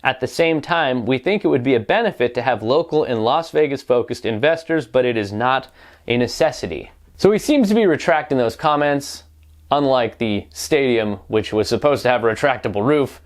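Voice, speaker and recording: A man's voice: medium pitch, animated, moderate pace. A man delivering opinionated sports news commentary as a reporter, wry and pointed, often posing rhetorical questions.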